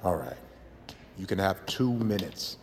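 A man playing a gruff, mocking prison guard in an audio drama. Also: low-pitched, sing-song, unhurried, background noise, full character voice. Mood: stern. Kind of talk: audio fiction acting.

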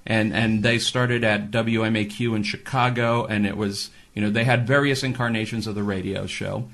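A man co-hosting a talk show and working as a voice actor, explaining history in a steady, storytelling way. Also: on the low side, moderate pace. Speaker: co-host, voice actor.

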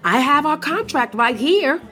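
Female Broadway co-producer: exasperated, sardonic, very animated but unhurried, heard from a distance in a roomy space. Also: sits high, heavily acted. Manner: sardonic, emphatic. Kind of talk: scripted audio comedy acting. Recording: roomy, distant, music and crowd behind.

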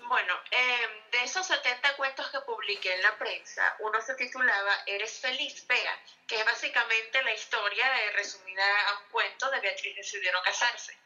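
A young Venezuelan woman speaks with a Venezuelan Spanish accent and a very bright, sharp tone. She is a novelist answering radio interview questions. Her talk is chatty and full of fillers. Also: a little high, moderate pace, few pauses, dull audio. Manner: chatty, lots of fillers, laughs often. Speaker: novelist guest.

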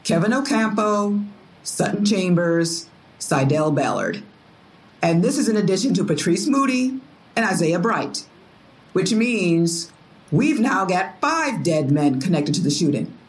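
A woman's voice: lowish voice, animated, unhurried, low-quality recording. An actress playing an indignant, exasperated, principled woman who challenges others in an audio drama. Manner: challenging, disapproving.

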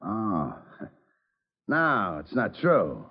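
An actor playing a defensive, evasive petty crook under questioning, surly and slangy, in a 1940s radio drama. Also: male voice, on the low side, huge pitch swings, drawn-out words, husky, exaggerated voice. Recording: dull old radio recording.

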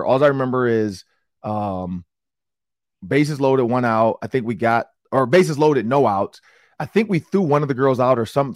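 Hoarse, raspy Midwestern man host. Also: mid-range voice, no room sound, studio quality. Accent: Upper Midwest American. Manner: rambling, excitable storytelling. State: hoarse from yelling. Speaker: sports talk show host. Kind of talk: casual podcast chat.

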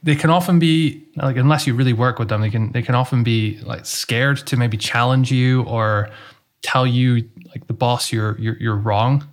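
A man's voice: mid-range voice, steady tone, moderate pace. A man hosting a podcast interview, talking conversationally and often repeating words as he searches for them. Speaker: podcast host. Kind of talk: casual interview talk.

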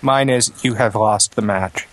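A man's voice: fairly low pitch, unhurried, fairly bright tone. Dry, sarcastic man hosting a podcast. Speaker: podcast host. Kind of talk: casual joking chat.

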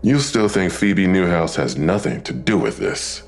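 An actor playing a probing, laconic police detective in an audio drama, with a gravelly voice. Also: male voice, sits low, animated, unhurried, faint music behind. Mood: puzzled, musing. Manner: questioning, laconic. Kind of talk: full-cast audio drama acting. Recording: clear background ambience noise.

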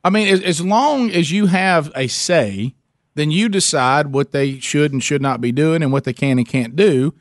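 A man's voice: a little high, moderate pace, dry recording, clean studio sound. A man hosting a call-in radio show, talking conversationally and circling back to rephrase as he explains his point. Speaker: radio talk show host.

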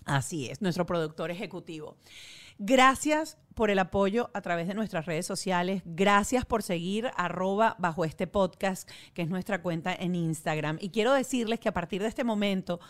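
A woman's voice: fairly low pitch, normal speed. Chatty woman podcast co-host. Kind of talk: casual podcast chat.

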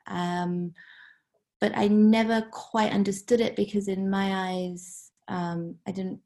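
A woman in her thirties from Hong Kong, a novelist speaking hesitantly as an interview guest, with many ums and soft whispered bits. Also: mid-range voice, level tone, takes it slowly. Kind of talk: casual interview.

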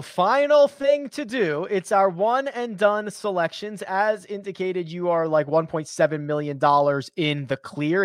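A man's voice: sits high, dry recording, clean studio sound. A man hosting a sports betting podcast, chatting casually with energy and wrapping up the show. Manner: energetic, chatty, upbeat sign-offs. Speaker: podcast host.

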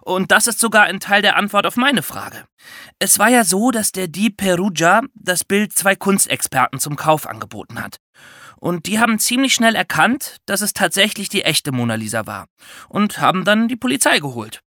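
Lively woman explaining, dry studio sound. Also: mid-range voice, normal speed, no room sound. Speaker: podcast co-host explaining. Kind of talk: conversational educational podcast for children.

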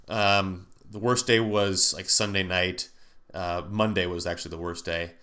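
Man, podcast host, nasal stuffy voice, rambling chat, lowish pitch. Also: steady tone, a little slow, pushed and bright. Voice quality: nasal, stuffy from a sinus cold. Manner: rambling with many fillers and restarts. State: congested, recovering from a cold. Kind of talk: casual solo podcast monologue.

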